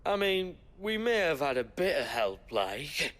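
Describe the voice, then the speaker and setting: Cheeky game rogue, highish voice swinging about, unhurried, glib and shifty. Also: male voice, very animated, fairly bright tone. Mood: breezy, defensive. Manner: glib, colloquial, hesitant. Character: cheeky, shifty young male village rogue. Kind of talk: video game cutscene acting.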